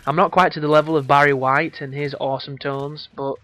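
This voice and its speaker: A man with a hoarse voice, giving casual, crude, rambling commentary over a game walkthrough. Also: fairly high pitch, little pitch movement, brisk, bright, forceful tone, dry recording. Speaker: gameplay commentator.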